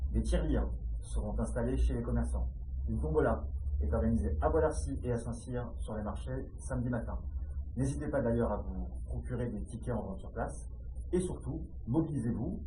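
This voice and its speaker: A man's voice: medium pitch, mellow. A French man giving a formal public address to residents as a local official. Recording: distant, muffled, echoey room with faint noise.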